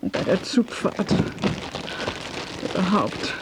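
An actress playing a stranded, demanding married woman traveller in a radio play, speaking in deliberate, imperious short phrases. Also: mid-range voice, animated, very noisy. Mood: plaintive. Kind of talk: German radio play acting.

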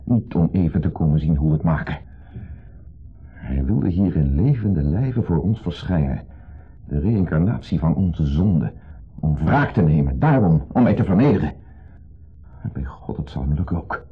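An actor playing an insecure, jealous husband in a 1970s radio play, accusing, brooding and sardonic. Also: male voice, extremely low pitch, animated, normal speed, pauses often, slightly rough, exaggerated voice. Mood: bitter, agitated. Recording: muffled phone-like old radio recording.

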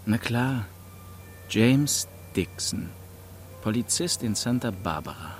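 A man's voice: mid-range voice, expressive, takes it slowly. A man reading an audiobook in the first person as a jaded teenager, sardonic and terse, with faint noise behind. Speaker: audiobook narrator.

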